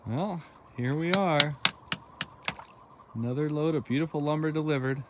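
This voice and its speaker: A man's voice: on the high side, normal speed. A man chatting casually and informally to the camera as a vlogging builder, with folksy, unscripted phrasing. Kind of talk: casual vlog chat to camera. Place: outdoors at a dock and on the water. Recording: very noisy outdoor boat and engine noise.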